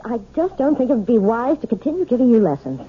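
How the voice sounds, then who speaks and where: A prim, nervous piano teacher. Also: female voice, on the high side, big pitch swings, fast, slightly rough, full character voice. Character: timid, proper piano teacher wife, woman, adult. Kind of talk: 1970s radio drama acting. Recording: tinny, narrow old radio broadcast.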